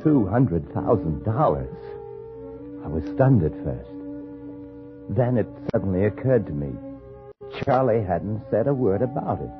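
A man's voice: on the low side, big pitch swings, a little slow, pauses often. An actor playing a bitter, driven framed man in a 1950s radio thriller, speaking in a terse, brooding, intense way. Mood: stunned, grim realization. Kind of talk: 1950s radio thriller acting and first-person narration. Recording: muffled vintage radio, music behind.

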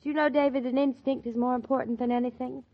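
An actress playing a whimsical, earnest young farm wife who gushes with wonder, in 1940s radio drama style. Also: female voice, sits high, flat delivery, fast talker. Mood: earnest, delighted. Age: young adult. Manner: gushing, wonder-struck. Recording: muffled 1940s radio recording.

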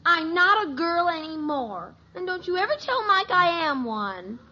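A woman's voice: extremely high pitch, sing-song, measured pace, sharp tone, heavily acted. An actress playing a headstrong little tomboy girl who insists she is a boy, in a 1950s radio sitcom. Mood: insistent, whiny. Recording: dull vintage radio broadcast.

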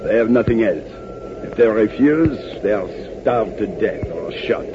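An actor playing a grave, practical young resistance fighter, serious and measured, in 1940s radio drama style. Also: male voice, on the low side, lively intonation. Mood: grim. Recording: noisy, muffled 1940s radio recording.

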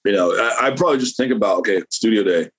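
A man's voice: on the low side, fast, breathy edge. A man, a painter, talking casually as an interview guest, rambling with lots of fillers and restarts.

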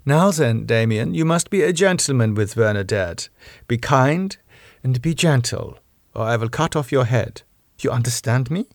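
A man's voice: mid-range voice, expressive, normal speed, dry recording, clean studio sound, pitched above the performer's own voice, close to an everyday voice. A man voicing a commanding female vampire, soothing, stern and formal in an audiobook story. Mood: stern, coaxing. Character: commanding foreign female vampire, calmly maternal yet menacing.

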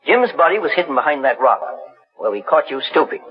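Commanding detective on muffled old radio. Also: male voice, fairly high pitch, sing-song. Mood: stern, accusing. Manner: deliberate, authoritative, accusing. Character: shrewd, commanding older male private investigator. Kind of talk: 1940s radio drama acting. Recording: dull, husky vintage 1940s radio recording.

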